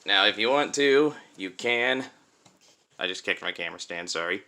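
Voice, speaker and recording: A man's voice: fairly low pitch, animated, normal speed, sharp tone. A man giving a casual hands-on demonstration as a toy reviewer, explaining things step by step.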